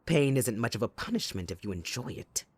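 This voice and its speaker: A man playing a gleeful, sadistic supervillain kidnapper in an audio roleplay, with a theatrical, mocking delivery that swings between soft and loud. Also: medium pitch, very animated, brisk, breathy edge. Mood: sly, musing. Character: sadistic, gleeful male supervillain kidnapper taunting his captive sidekick.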